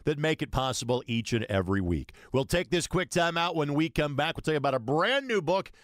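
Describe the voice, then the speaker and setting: A man's voice: a little high, sing-song, brisk, no room sound, studio quality. A man hosting a sports radio show, interviewing a guest in a conversational way.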